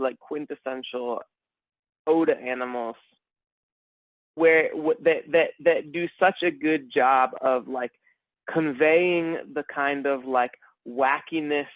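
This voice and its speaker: A man co-hosting a casual podcast, rambling and animated, calling his friend bro and man. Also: on the high side, no room sound. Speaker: podcast co-host. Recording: dry phone-like sound.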